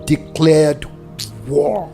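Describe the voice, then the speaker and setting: Slow, creaky, resolute Nigerian mentor. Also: male voice, on the high side, over music, heavily acted. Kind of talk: gospel audio drama acting.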